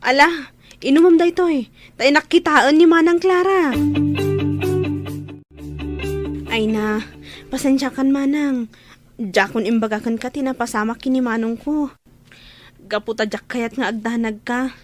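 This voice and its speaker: A woman's voice: sits high, fairly even intonation, moderate pace, long pauses, music in the background, close to an everyday voice. A woman acting in a radio drama as a caring but exasperated younger sister. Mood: caring, anxious.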